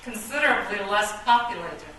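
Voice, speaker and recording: A woman's voice: mid-range voice, animated, brisk, bright, forceful tone. A woman playing a bold, defiant rebel chess pawn with dry, declamatory delivery in a comic radio play. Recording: echoey room, distant mic, background noise.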